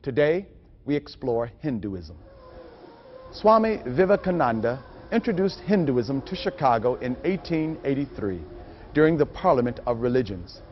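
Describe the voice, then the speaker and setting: A man's voice: mid-range voice, very animated, normal speed. A man reading scripted narration for a training film. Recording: muffled audio with music behind. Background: music.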